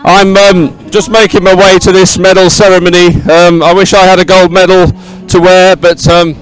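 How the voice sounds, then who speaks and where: High, clear-voiced male sports presenter. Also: little pitch movement, moderate pace, low-quality recording. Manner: effusive, repeats words for emphasis. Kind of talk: live unscripted event commentary and chat. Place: outdoors at a busy regatta venue.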